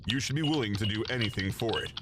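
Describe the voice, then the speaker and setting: A man playing a slow, solemn, commanding leader of a secret organization in a promo. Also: fairly low pitch, noisy background, lightly acted.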